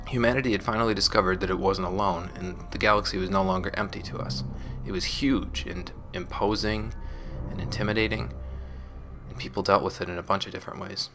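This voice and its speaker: A man playing a reflective former gang member, recording a measured, explanatory audio diary over music. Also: sits low. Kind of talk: scripted in-character audio diary narration. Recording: music bed under the voice.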